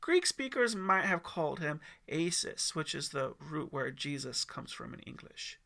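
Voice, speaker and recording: A man voicing a mysterious, kindly mountain man in an audiobook, gently instructive and measured. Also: on the high side, big pitch swings, gravelly, exaggerated voice. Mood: patient.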